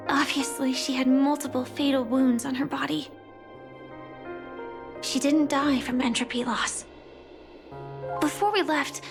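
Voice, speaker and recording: Video game role: determined young fighter, high voice, sincere, over clear background music. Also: female voice, full character voice. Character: earnest, determined young female warrior with a mission. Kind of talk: scripted video game voice acting.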